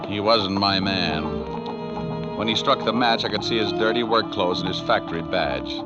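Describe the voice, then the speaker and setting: Low-voiced tough american private eye, played by a radio actor, wry, clipped, old muffled recording, music behind. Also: male voice, lightly acted. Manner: wry, hard-boiled, clipped delivery. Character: tough wisecracking male private detective narrating his case. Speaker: radio actor playing the lead detective. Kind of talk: 1940s radio detective drama acting with narration.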